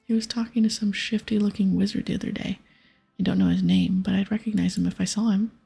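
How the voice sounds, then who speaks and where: Breathy, chatty innkeeper played by a woman. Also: medium pitch, steady tone, quick pace, warm tone, soft background music, full character voice. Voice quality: breathy, half-whispered. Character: friendly tavern innkeeper, gossipy and helpful.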